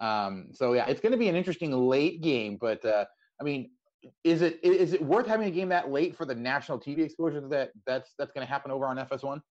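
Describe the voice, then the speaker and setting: A man's voice: medium pitch, expressive, fast talker, a little mellow, muffled recording. A man hosting a casual sports interview podcast, stammering his way into long, wordy questions.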